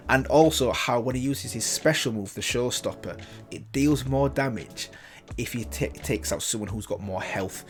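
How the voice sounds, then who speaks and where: A man gives casual reaction commentary in an average-pitched voice. He is eager and rambling, and he often backs up and restarts his sentences. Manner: enthusiastic, rambling, with frequent restarts. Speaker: reaction video host.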